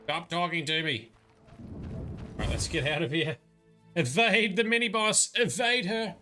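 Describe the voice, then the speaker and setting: A man's voice: a little high, measured pace, pushed and bright, faint music behind. A man giving casual, rambling commentary while livestreaming a game, muttering asides and grumbling at the characters. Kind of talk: casual live gameplay commentary.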